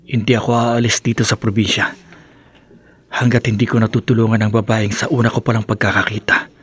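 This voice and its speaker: A man's voice: fairly low pitch, flat delivery, a little fast, a bit bright, slightly rough. A Filipino man playing an earnest, brooding young man in love in a radio drama. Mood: brooding, determined. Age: young adult.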